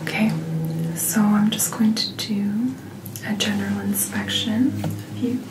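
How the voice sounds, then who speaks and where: Female ASMR doctor: hushed, breathy whisper, very slow and soothing delivery, roomy recording. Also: medium pitch, steady tone. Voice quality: soft, breathy, mostly whispered. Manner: gentle, unhurried, reassuring bedside manner. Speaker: ASMR performer playing a doctor. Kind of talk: soft-spoken ASMR medical roleplay. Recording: roomy sound, clear background noise.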